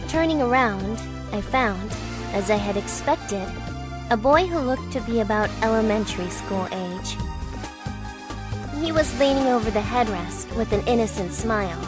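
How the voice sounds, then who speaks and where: Brief: young woman playing a musing, wry narrator, slightly high voice, clear music underneath. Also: expressive, moderate pace, natural voice. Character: young woman flying alone, wry and reflective. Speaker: first-person narrator. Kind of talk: amateur fandub voice acting. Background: background music.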